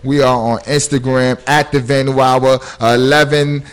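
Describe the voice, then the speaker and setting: A man's voice: mid-range voice, flat delivery, moderate pace, clear, resonant tone. A man hosting a podcast, talking in a laid-back, rambling way with lots of fillers and street slang. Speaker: podcast host. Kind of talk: casual podcast chat.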